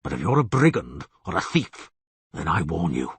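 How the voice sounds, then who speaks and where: A man voicing a blustering, bear-headed monster with a rasping growl, theatrical and indignant, in a fantasy audiobook. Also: deep voice, big pitch swings, normal speed, a bit bright, no echo, voice pushed down, full character voice. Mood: grudging. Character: blustering bear-headed monster, roaring, grumpy, grudgingly hospitable.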